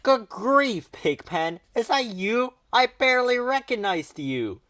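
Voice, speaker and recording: Guy doing an earnest kid from a cartoon storybook, kindly but a bit exasperated, swingy pitch. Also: very animated, slow pace, a bit bright, no echo, heavily acted. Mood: astonished. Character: earnest, kindly young cartoon boy, mildly exasperated friend.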